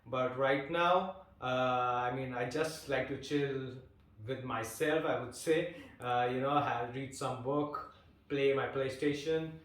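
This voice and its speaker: A man in his twenties from India, chatting casually as an interviewee, relaxed and hesitant with lots of fillers and restarts. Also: mid-range voice, slow, recorded from a distance. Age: 20s. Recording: echoey room, voice off-mic.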